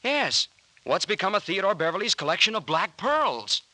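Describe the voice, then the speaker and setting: A man acting in an old-time radio drama as an earnest, excitable young man with an insistent manner. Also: high voice, normal speed, heavily acted. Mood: insistent, astonished.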